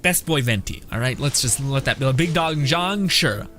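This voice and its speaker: A man's voice: mid-range voice, animated, moderate pace. A young man giving casual gameplay commentary as a streamer, rambling, jokey and slangy. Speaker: gaming streamer. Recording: game music behind the voice.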